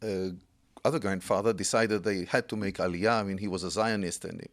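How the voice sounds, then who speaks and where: Low-voiced man in his sixties. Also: fairly even intonation. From Israel. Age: 60s. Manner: storytelling, unhurried with many pauses. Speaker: guest. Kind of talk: casual interview chat.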